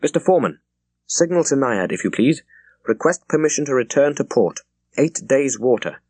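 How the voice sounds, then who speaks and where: A man's voice: medium pitch, very animated, moderate pace, a little mellow, no room sound, pitched above the performer's own voice. Man acting commanding naval captain, clipped orders. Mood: formal, firm. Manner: clipped, courteous, authoritative orders. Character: commanding young male naval captain, formal and resolute. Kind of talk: dramatized audiobook character dialogue.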